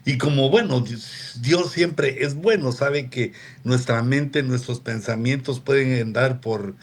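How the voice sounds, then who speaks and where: A man preaching a relaxed, conversational sermon on a radio program, often turning to his listeners with asides. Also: medium pitch. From Latin America. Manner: unhurried, digressive, with frequent asides to listeners.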